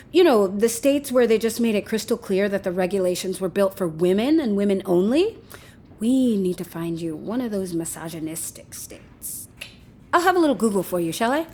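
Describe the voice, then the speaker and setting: A woman's voice: medium pitch, darkish tone, natural voice. An actress playing a breezy, sardonic, slangy woman who teases her panicking male partner in a naturalistic audio drama. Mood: flippant, teasing. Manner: flippant, teasing, slangy.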